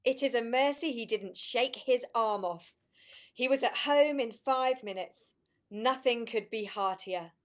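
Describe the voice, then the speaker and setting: A woman's voice: a little high, a little slow, natural voice. A woman narrating a classic story in a radio play reading. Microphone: video call.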